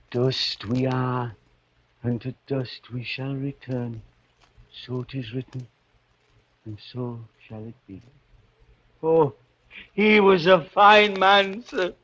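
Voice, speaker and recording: A man's voice: mid-range voice, takes it slowly, pauses often, fairly bright tone, slight background noise. An actor playing an old bell-ringer in a 1940s radio drama, crooning fervently in folksy archaic dialect about bells he treats as his children. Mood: grieving. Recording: muffled vintage radio broadcast with music.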